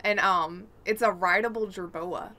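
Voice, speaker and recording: A woman co-hosts a gaming podcast in a casual, conversational style. Her voice is bright and forward, sitting at an ordinary pitch for a woman. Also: fairly even intonation, a little slow. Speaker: podcast co-host.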